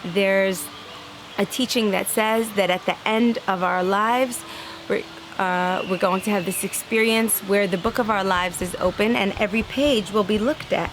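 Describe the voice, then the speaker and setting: A woman's voice: mid-range voice, normal speed, background noise. Earnest woman giving a spiritual talk. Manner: earnest, animated, acts out inner voices; laughs lightly now and then. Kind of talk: inspirational religious talk.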